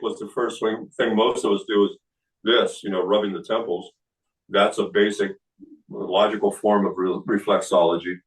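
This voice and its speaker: A man in his late sixties speaks in a low, unhurried voice, explaining things with plenty of "uh" and "you know". He sounds a little distant from the microphone, as if picked up by a camera across an indoor room. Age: 60s. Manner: relaxed explaining with many fillers. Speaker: kung fu teacher. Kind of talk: seminar talk.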